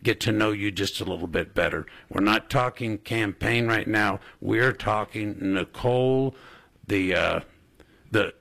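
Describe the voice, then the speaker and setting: Low gravelly male talk host. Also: a little slow, a bit bright. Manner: rambling, repeats words, jokey. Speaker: radio talk show host. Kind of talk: casual interview.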